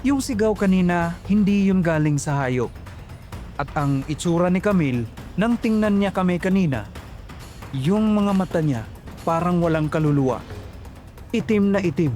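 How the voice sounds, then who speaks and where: Young guy telling a scary story, tense, higher voice. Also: animated, moderate pace, long pauses, darkish tone, soft background music. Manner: tense, suspenseful. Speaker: narrator. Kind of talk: dramatic horror storytelling.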